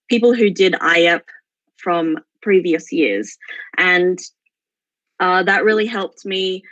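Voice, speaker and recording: A woman in her twenties with an Australian accent, giving a semi-prepared talk at an online forum, pausing often mid-phrase as she searches for words. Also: on the low side, a bit bright, no room sound. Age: 20s. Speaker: forum speaker, exchange program alumna. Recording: dull, lo-fi online call audio.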